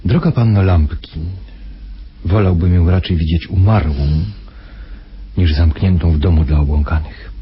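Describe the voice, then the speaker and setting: An actor playing a courteous, controlling husband in a radio drama, smoothly insistent while keeping his wife confined. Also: low voice, measured pace, pauses often, slight background noise. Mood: somber. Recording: dull old radio drama recording.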